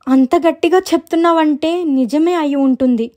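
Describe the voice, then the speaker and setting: A woman's voice: high voice, moderate pace, mellow, voice pushed up, close to an everyday voice. A woman voicing a worried but resolute elder sister in a story, firm and concerned.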